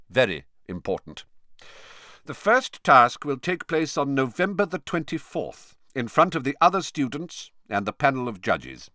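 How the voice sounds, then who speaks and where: A man's voice: mid-range voice, bright, forceful tone, no room sound, studio quality, pitched above the performer's own voice. A man voicing a stern older male official in a formal, clipped manner.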